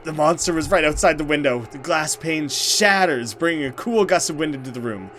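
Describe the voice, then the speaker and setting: A man's voice: a little high, brisk, a bit bright, a little hiss or hum. A man hosting a let's play, reading horror game narration dramatically and reacting with casual commentary.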